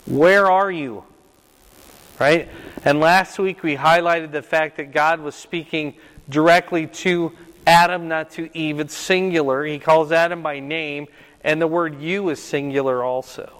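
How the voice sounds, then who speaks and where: Older man, Bible teacher, loud voice, quizzing a group, slow deliberate delivery, long room reverb. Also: high-pitched. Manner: questioning, repeats and presses for answers. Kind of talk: interactive Bible class, quizzing listeners.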